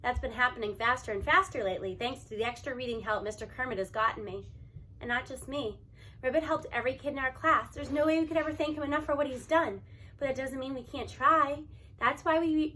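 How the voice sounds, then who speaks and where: A woman reading a children's novel aloud in an expressive, animated storytelling manner. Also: sits high, slight background noise. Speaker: audiobook reader.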